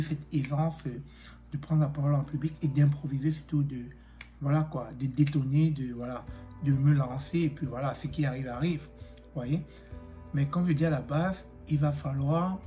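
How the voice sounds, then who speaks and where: A man with a cold talking to his viewers about fear of public speaking, pausing often with fillers and clearing his throat. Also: mid-range voice, mellow. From Africa. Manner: hesitant, many fillers, direct address. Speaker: YouTuber. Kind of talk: motivational talk to camera.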